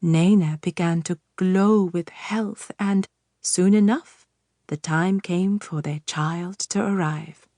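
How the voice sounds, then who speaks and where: Female fairytale audiobook narrator, unhurried and expressive, mid-range voice, dry studio sound. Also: lowish voice, no echo. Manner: measured, expressive storytelling.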